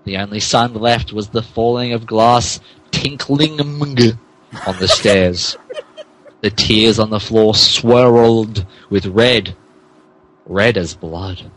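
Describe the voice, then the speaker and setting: A man's voice: fairly low pitch, takes it slowly, fairly bright tone, slight background noise, rough recording. A man, a podcast host, narrating a comic dramatic reading of fan fiction theatrically and stumbling over the text.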